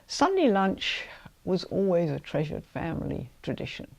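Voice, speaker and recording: A woman's voice: fairly low pitch, very animated, normal speed, gravelly, a little mellow. A middle-aged woman with an English accent. She talks fondly in an interview and reminisces. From London. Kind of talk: casual interview.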